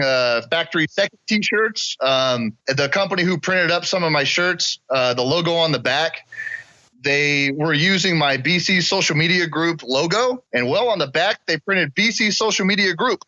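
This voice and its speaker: A man's voice: fairly high pitch, unhurried, pushed and bright, no room sound. A man from Missouri, a podcast guest, chatting loosely about his products with casual swearing. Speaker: guest, business owner. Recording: dull dry lo-fi sound.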